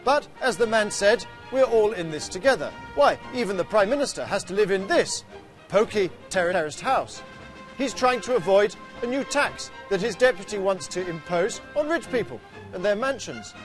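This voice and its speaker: A man narrating a mock public information film in a cheery, deadpan satirical manner. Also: high voice, moderate pace. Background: music.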